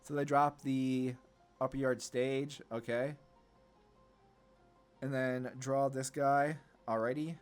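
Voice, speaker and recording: A man's voice: medium pitch, flat delivery, slow pace, long pauses, clean tone, faint music behind. A man giving casual, laid-back gameplay commentary on a card game, thinking aloud as he plays. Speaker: gaming content creator.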